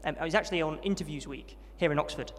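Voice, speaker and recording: A young man recounts his upbringing at a brisk pace and a slightly raised pitch. He is friendly and self-deprecating, with plenty of ums. His voice rings with the long reverb of a large hall. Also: a little hiss or hum. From Yorkshire, England. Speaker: interviewee, trainee vicar. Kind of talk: testimony interview at a student event.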